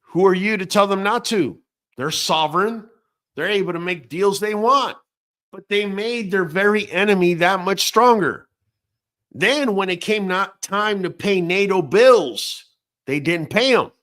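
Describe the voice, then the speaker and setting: A man's voice: high-pitched, measured pace, fairly bright tone. Blunt, profane man ranting. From Venezuela. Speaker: host. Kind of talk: late-night casual talk.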